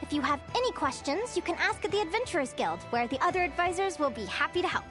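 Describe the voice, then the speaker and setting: A bubbly young guild advisor from a video game, girlish and extremely high. She is chirpy, curious and gushing, with lively swings in pitch over clearly audible music. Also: quick pace. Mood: cheerful, lecturing. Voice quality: girlish, light.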